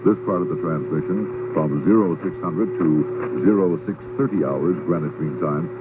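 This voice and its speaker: A man's voice: sits low, normal speed, narrow, tinny audio, loud music behind the voice. A man giving a scripted station announcement as a radio announcer, heard over shortwave. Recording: noisy, phone-thin shortwave radio recording.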